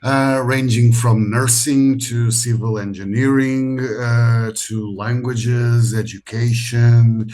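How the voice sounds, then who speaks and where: Portuguese-born man, measured interview guest. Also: mid-range voice, fairly even intonation, a little mellow. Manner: measured, with frequent pauses and fillers. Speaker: university educator, interview guest. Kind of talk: conversational interview.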